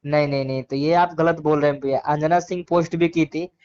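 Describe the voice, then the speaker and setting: Higher-pitched Bhojpuri-accented man on muffled phone call. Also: steady tone, fairly quick, darkish tone, smooth. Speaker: interviewee giving opinions. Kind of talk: casual phone interview. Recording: dull lo-fi phone call recording.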